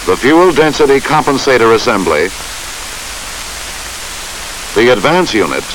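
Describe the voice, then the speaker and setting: Man narrating, animated pitch, hissy film soundtrack. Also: poor audio. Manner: measured, formal, instructional delivery. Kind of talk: scripted instructional narration.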